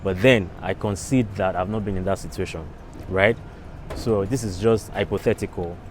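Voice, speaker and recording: A man gives a reflective, casual answer in a street interview. His voice sits fairly low, over faint outdoor vehicle noise. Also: moderate pace.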